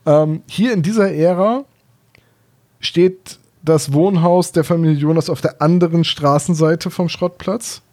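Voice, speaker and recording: A man with a slightly high but soft, mellow voice co-hosts a relaxed talk. He explains things chattily and casually, with a playful streak. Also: measured pace. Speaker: podcast co-host. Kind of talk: casual discussion of audio dramas and books.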